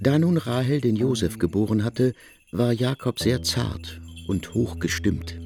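A man's voice: mid-range voice, lively intonation, unhurried, darkish tone, faint music behind. A man narrating a literary radio drama as a stately, wry storyteller, articulate in his delivery.

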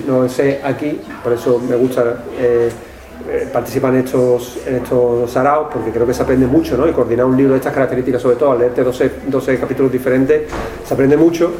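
A man's voice: mid-range voice, darkish tone. A man from Seville with an Andalusian accent, an anthropologist presenting his book, rambling with fillers and tag questions. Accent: Andalusian Spanish with some Catalan tinge. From Seville, Spain. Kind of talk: public talk presentation. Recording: echoey room, faint noise.

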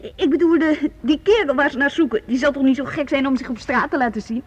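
An actress plays a nosy schoolgirl in a radio drama. Her voice is very high and childlike, chattering eagerly with a ghoulish streak. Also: fast, highs cut off, a little hiss or hum, close to an everyday voice. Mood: ghoulish, chatty. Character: chatty, nosy young girl with ghoulish imagination. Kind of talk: radio play acting.

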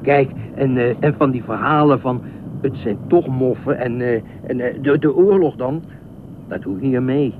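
A man's voice: medium pitch, moderate pace, natural voice. A man in a radio play acting an eccentric, talkative lifeguard, anxious and pleading, rambling and repeating himself. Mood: earnest, hesitant. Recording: tinny band-limited radio play, faint noise.

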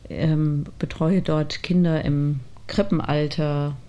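A woman's voice: low voice, steady tone, slow, mellow. A woman in her forties, a childcare worker, speaking spontaneously about herself and her work. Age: 40s. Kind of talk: spontaneous self-introduction.

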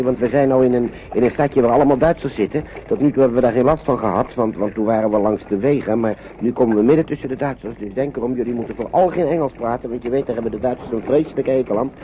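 An actor playing a kindly, protective man coaxing refugee children in a halting, avuncular way, in a radio drama. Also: mid-range voice, natural voice. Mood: cautioning. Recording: dull, noisy old radio recording.

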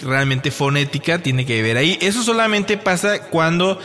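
A man's voice: on the high side, moderate pace, sharp tone. A man co-hosting a casual podcast chat, rambling and hesitant with many fillers and restarts. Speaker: podcast co-host.

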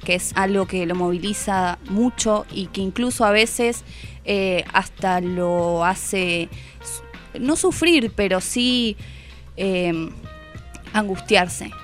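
Radio book columnist: woman, Argentine accent, mid-pitched, hesitant and thoughtful conversational delivery, music bed underneath. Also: slow. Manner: reflective, hesitant, rephrasing as she goes. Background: background music.

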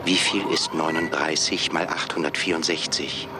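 An actor playing a cold, blunt AI job interviewer in a radio play, speaking formally and condescendingly. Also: male voice, extremely low pitch, steady tone, moderate pace, over music. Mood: cool, condescending. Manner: formal, clipped, condescending questioning.

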